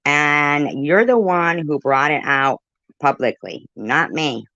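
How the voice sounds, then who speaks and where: A woman's voice: low-pitched, slow, pushed and bright, dry recording. Woman host, angry rebuttals, swears, muffled dry lo-fi audio. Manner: combative, swearing, cuts in to rebut. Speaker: channel host reacting to a clip. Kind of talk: heated commentary.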